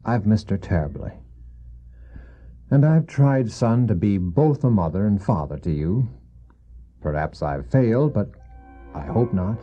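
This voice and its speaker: An actor playing a gentle, weary widowed father in a radio drama, speaking tenderly and haltingly to his young son. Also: lowish voice, lively intonation, faint music behind. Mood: sad, regretful. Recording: dull old cassette audio.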